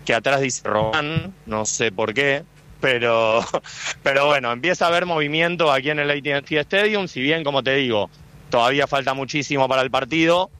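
A man with an Argentine accent doing live street interviews for radio outside a stadium, chatty and quick with follow-up questions. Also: medium pitch, sharp tone, smooth. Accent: Argentine Spanish. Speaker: field reporter.

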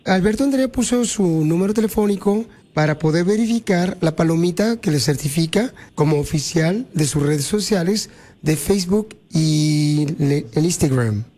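A man's voice: a little high, normal speed. A man hosting a radio prank call, putting on a stiff official tone with a straight face. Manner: feigns a stiff official tone, deadpan.

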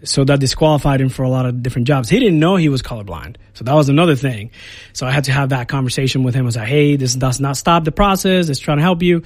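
A man's voice: mid-range voice, fast, no echo. A man from Puerto Rico in his thirties, an Air Force recruiter, talking casually in a radio studio interview with many fillers and restarts.

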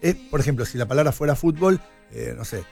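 Fast-talking Rioplatense man explaining. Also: medium pitch, lively intonation, creaky, darkish tone, soft background music. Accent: Rioplatense Spanish. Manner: quick, explaining with restarts. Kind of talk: casual studio chat.

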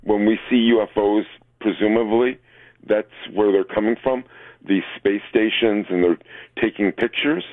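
A man's voice: lowish voice, fairly even intonation, unhurried, no echo. A man in his sixties calling a radio show, chatting casually and hesitantly over a tinny phone line. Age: 60s. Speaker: regular phone-in caller. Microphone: telephone.

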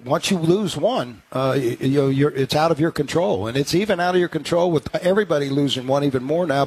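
A man's voice: medium pitch, husky. A man co-hosting a sports radio show, analyzing football in a rambling, talky way with many restarts. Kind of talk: call-in sports radio chat.

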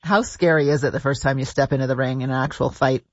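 A man's voice: mid-range voice, fairly even intonation, fast talker, no room sound, muffled recording. A man hosting a radio interview, asking friendly leading questions. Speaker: radio host.

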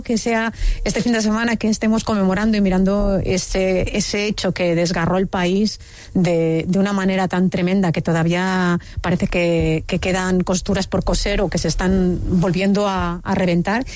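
A woman's voice: mid-range voice, a little mellow. Spanish woman hosting talk radio. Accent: Spain. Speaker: radio host. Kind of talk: live radio talk show.

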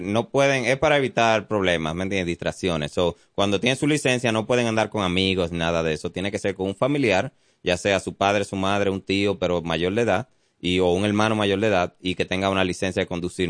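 Very deep Dominican man, dry studio. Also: clear, resonant tone, no room sound. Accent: Dominican Spanish. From Dominican Republic. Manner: explanatory, calm, many fillers. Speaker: police officer guest. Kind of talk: informative radio interview. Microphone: studio mic.

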